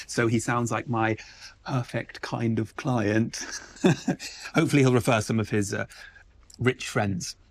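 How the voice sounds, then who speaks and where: An actor playing a kindly, easygoing boss in an audio drama, friendly and encouraging. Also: male voice, mid-range voice.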